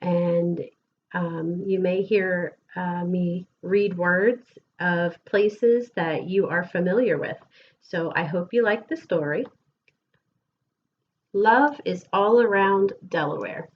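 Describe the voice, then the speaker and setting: A woman with an American accent reads a picture book aloud to children with expressive, deliberate storybook phrasing, like a schoolteacher. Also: on the low side, highs cut off, slight background noise. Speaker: elementary school teacher reading aloud.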